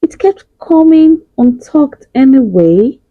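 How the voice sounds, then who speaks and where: A woman's voice: high-pitched, lively intonation, slow pace, no room sound, narrow, tinny audio. Woman voicing a kind, cheerful, sing-song giant. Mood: cheerful, caring.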